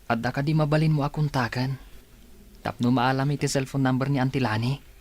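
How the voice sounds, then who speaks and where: A man's voice: medium pitch, steady tone, brisk, lightly acted. A man playing an earnest, pleading young village son in a radio drama. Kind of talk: Ilocano radio drama acting.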